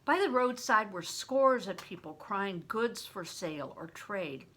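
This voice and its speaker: A woman's voice: medium pitch, expressive, a little slow, fairly bright tone. An older woman, a grandmother, reading a story aloud expressively, as if to children. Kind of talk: read-aloud audiobook narration with plain asides.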